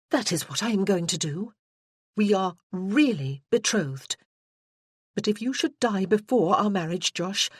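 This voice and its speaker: A woman's voice: mid-range voice, husky, dry recording, studio quality, voice pushed up. A reader voicing a proud, fiery young betrothed lady from a Regency romance, haughty and fierce in her speech.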